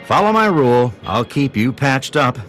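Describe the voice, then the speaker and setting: An actor playing a gruff, grudging town doctor in a post-apocalyptic video game, curt and blunt. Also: male voice, mid-range voice, very animated, moderate pace, a bit bright. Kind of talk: video game character dialogue. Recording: music playing behind the voice.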